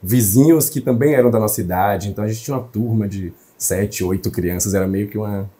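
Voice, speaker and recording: A man's voice: lowish voice, fairly quick, warm tone. A young man from Salvador, a journalist guest telling his story fluently and reflectively in an interview. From Salvador, Bahia, Brazil. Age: young adult. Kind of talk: interview conversation.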